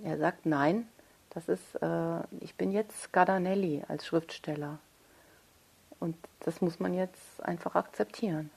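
A woman's voice: on the low side, fairly even intonation, measured pace, gravelly, a little mellow, slightly rough. A woman speaking as an interviewed author in a thoughtful conversation, searching for her phrasing and correcting herself.

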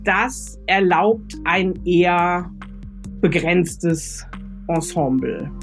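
A woman's voice: on the low side, drawn-out words, faint music behind. A woman speaking unscripted as a guest author, explaining her advice step by step as she thinks aloud. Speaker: guest expert, radio drama author. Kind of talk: unscripted expert advice in conversation.

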